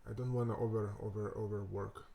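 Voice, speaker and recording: Lithuanian-accented man, low and mellow, slow halting tutorial host full of fillers. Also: flat delivery, creaky. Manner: halting, thinking aloud with many fillers and restarts. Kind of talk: casual screen-recorded coding walkthrough.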